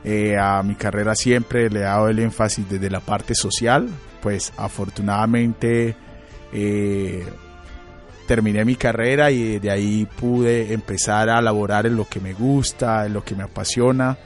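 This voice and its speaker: Halting low-voiced Colombian man. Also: fairly even intonation, faint music behind. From Colombia, Chocó. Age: late 30s. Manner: thoughtful, halting, many fillers and restarts. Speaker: radio guest, psychologist. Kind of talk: reflective interview answers.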